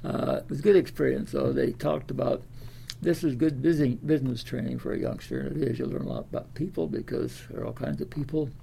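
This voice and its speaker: A man of ninety with an American Midwestern accent, reminiscing in a halting, rambling way with repeated words. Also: mid-range voice, fairly even intonation, gravelly, warm tone, slight background noise. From Des Moines, Iowa, United States. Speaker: interviewee, World War Two veteran. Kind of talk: oral history reminiscence.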